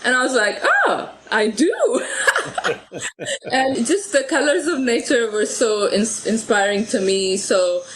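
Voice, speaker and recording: A woman's voice: fairly high pitch, very animated, slow pace, a bit bright, poor audio. A woman from Ethiopia, a podcast guest talking about her photography, often laughing and restarting words. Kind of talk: conversational interview.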